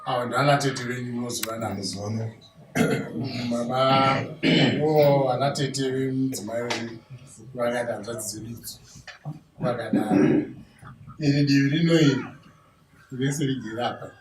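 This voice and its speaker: A man with a Zimbabwean accent playing a bride's family spokesman, speaking formally and deliberately in a dramatized bride-price ceremony. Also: medium pitch, lightly acted. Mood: formal, introducing. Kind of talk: acted ceremonial negotiation speech. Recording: roomy, distant mic with background noise.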